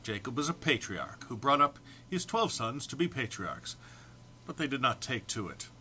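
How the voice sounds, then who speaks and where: A man's voice: mid-range voice, very animated, normal speed, bright, forceful tone, slight background noise. A man informally introducing and reading comic text aloud in a mock-solemn manner, struggling to keep from laughing. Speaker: amateur reader recording for an online post.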